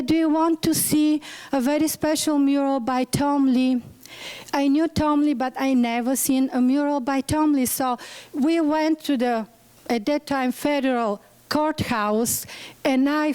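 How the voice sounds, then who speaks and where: A woman's voice: high-pitched, darkish tone, low-quality recording. A woman from Bulgaria with a Bulgarian accent, a museum director giving an earnest symposium talk and sometimes searching for words. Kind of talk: symposium presentation.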